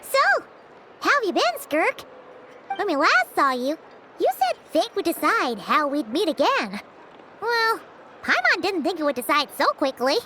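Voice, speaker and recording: A woman's voice: huge pitch swings, a little slow, background noise, exaggerated voice. A woman voicing a small, chirpy, inquisitive fairy companion in a video game. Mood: friendly. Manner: squeaky, speaks of self in third person. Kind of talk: animated video game voice acting.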